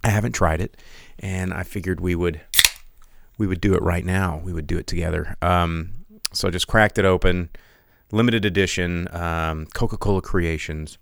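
A man's voice: low voice, steady tone, normal speed, gravelly, dry recording. A man hosting a podcast livestream in a casual, rambling way, with lots of ums and restarts and a loose, jokey tone. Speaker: podcast host.

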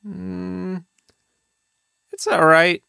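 A man co-hosting a podcast, chatting casually about movies in a hesitant, deadpan way. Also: sits high, extremely slow, creaky, smooth, dry recording, studio quality. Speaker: podcast co-host.

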